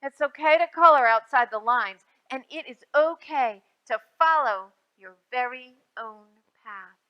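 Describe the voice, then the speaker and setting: A woman hosting a storytime for young children, reading aloud in a sing-song way with drawn-out, emphasized phrases and simple prompts to her listeners. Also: high voice, expressive, highs cut off. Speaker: children's librarian and storytime host.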